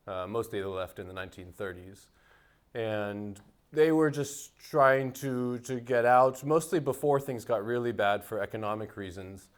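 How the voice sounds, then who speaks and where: A man raised in the United States answers interview questions in a thoughtful, unhurried way, with many fillers and restarts. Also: fairly low pitch, fairly even intonation. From United States (Seattle). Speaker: interviewee, musician.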